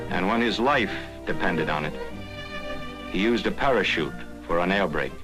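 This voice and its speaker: A man's voice: low voice, expressive, moderate pace, low-quality recording. An American man delivering scripted narration in the style of a 1940s newsreel. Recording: 1940s film soundtrack with prominent music. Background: music.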